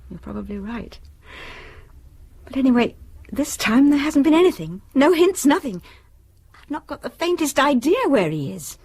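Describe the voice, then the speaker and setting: An actress playing a worried, wronged middle-aged British wife and mother in a naturalistic radio drama, with a husky voice. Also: high-pitched, big pitch swings, normal speed, a little mellow. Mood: fretful.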